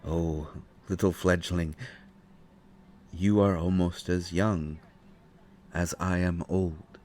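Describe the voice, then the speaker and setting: A man voicing an ancient, courteous vampire who speaks with formal, measured, deliberate phrasing in an audio roleplay. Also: deep voice, flat delivery, darkish tone, a little hiss or hum. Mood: knowing, intrigued. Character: ancient, courteous, knowing male vampire speaking softly and gravely. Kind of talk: scripted immersive audio roleplay. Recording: roomy echo, faint music behind. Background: faint music.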